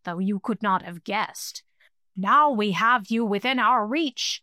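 A woman's voice: on the high side, pushed and bright, dry recording, studio quality. A woman voicing a hissing skull-bearer monster. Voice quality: hissing, rasping.

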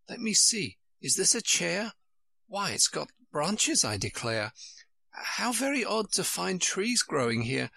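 A polite little girl wonders aloud in a raised, timid voice, played by a man. She sounds curious and a bit uncertain as she speaks. The reading is close and dry, with bright tone. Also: on the high side, gravelly, breathy edge, dry recording, full character voice.

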